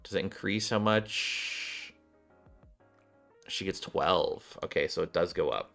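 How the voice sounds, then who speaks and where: A man's voice: low-pitched, slow, vocal fry, a bit bright, faint music behind. A man doing casual game commentary, thinking aloud in a rambling way, with a slightly worn voice. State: voice slightly off, recovering. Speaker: gaming streamer, host.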